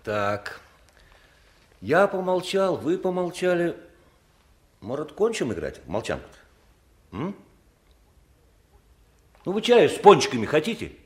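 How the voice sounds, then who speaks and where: A man playing a good-natured police lieutenant in a 1960s Soviet radio play, informal, coaxing and gently ironic. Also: on the high side, wildly swooping pitch, slow, pauses often, exaggerated voice.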